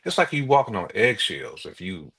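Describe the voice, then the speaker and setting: Husky, deep-voiced American man chatting casually. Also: very animated, normal speed, fairly bright tone. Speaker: podcast co-host. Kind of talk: casual podcast conversation.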